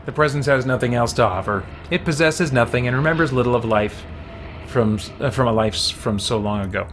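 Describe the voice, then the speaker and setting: A man hosts a game stream in a casual, think-aloud way, moving between reading on-screen text and talking to viewers. His voice sits at a middling pitch, with some faint noise in the background. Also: fairly quick, a bit bright.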